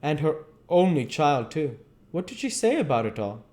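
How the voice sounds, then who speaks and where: A man voicing a grave, heartbroken gentleman, formal and pleading, from a classic novel. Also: a little high, big pitch swings, moderate pace, voice pushed up. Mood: distressed, earnest. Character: grave, heartbroken gentleman friend, earnest and reproachful.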